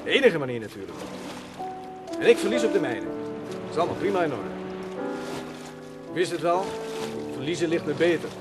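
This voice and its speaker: A lovelorn, bombastic romantic loser in a radio drama. His highish voice swings widely as he declaims in florid, theatrical phrases, with prominent music behind him. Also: sing-song, normal speed, pauses often. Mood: rueful confession. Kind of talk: radio play monologue.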